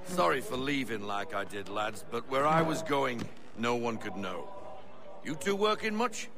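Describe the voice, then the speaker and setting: An actor playing a jovial, middle-aged ship's first mate with a jaunty, bantering manner in a video game. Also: male voice, medium pitch, sing-song, measured pace, over music. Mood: genial. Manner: jaunty, bantering, barking orders at times.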